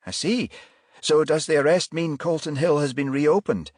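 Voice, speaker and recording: Man voicing a brisk female TV news reporter. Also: a little high, no room sound, studio quality, pitched above the performer's own voice, close to an everyday voice. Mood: formal, inquisitive. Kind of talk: audiobook character voice.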